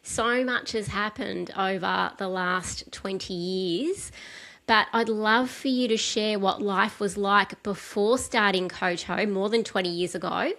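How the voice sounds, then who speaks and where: A woman hosts a podcast in a middling voice. She delivers her words in a polished, enthusiastic way, smooth in the scripted opening and warmer in conversation. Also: mid-range voice, unhurried. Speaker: podcast host. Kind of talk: scripted intro then interview questions.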